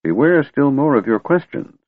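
A man's voice: medium pitch, expressive, normal speed, dry recording, pitched above the performer's own voice, close to an everyday voice. A man voicing a wise, eccentric old wizard who is secretly a god, testy and stern in turn. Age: older. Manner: cranky yet wistful, scolding. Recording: tinny phone-like dry recording.

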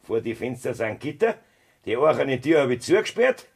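An actor with a Bavarian accent playing a cruel, scheming farmer husband in a 1970s radio play, with a gravelly, husky voice. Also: male voice, mid-range voice, sing-song, moderate pace, heavily acted. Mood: menacing.

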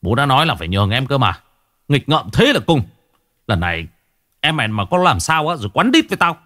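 A man's voice: fairly low pitch, big pitch swings, fast, fairly bright tone. A man doing a stern, scolding father. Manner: scolding, gruff. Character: stern, short-tempered rural father.

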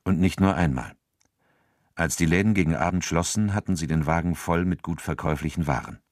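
A man's voice: sits low, brisk, no echo, studio quality. A man narrating a radio drama from a script.

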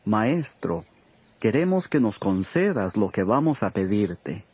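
Low-voiced, earnest biblical seeker. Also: male voice, very animated, slow pace, a little mellow, slightly rough, no echo, heavily acted. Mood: respectful request. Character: earnest, respectful male seeker addressing his teacher. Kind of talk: dramatized audio Bible dialogue.

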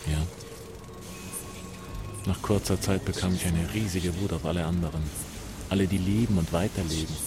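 A man's voice: low-pitched, fairly even intonation, normal speed, pauses often, music in the background, natural voice. An actor playing a reflective dying man in a radio drama, speaking in a sombre, matter-of-fact, philosophical way. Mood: confiding. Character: reflective dying man facing death calmly.

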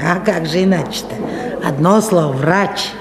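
An actress playing a kindly, talkative old cloakroom attendant in a radio play, with a homely, folksy way of talking. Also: female voice, on the low side, normal speed, lightly acted. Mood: homely, motherly. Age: older. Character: chatty kindly old cloakroom woman, retired weaver. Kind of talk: 1970s radio play acting. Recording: echoey hall with crowd murmur.

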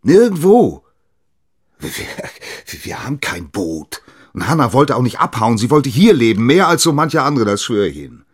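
An actor playing a bitter, defensive bereaved husband in a radio drama, with a husky voice and a curt, strained manner. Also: male voice, medium pitch, sing-song, moderate pace. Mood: agitated, insistent.

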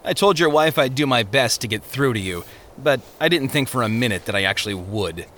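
A man's voice: mid-range voice, lively intonation, a little fast, a bit bright, slight background noise, natural voice. A man voicing a smug, falsely genial parson in an audiobook story, talking down in a condescending way. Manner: condescending, hesitating mid-phrase.

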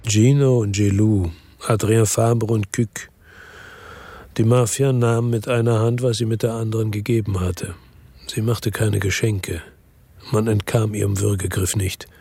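A hard-boiled male investigator telling his own story in a radio play. The voice is low, gravelly and husky. He keeps it terse and measured, recorded very dry and close. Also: flat delivery, mellow, no room sound, heavily acted. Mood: bitter, resigned. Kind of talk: scripted first-person radio drama narration. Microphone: close dry studio microphone.

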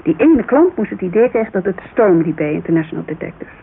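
A woman's voice: lowish voice, fast. A woman playing a chatty, loyal businesswoman giving a witness account in a naturalistic radio drama, telling it informally with digressions. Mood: earnest, loyal. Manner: informal, digressive, animated storytelling. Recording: muffled, hissy old radio recording.

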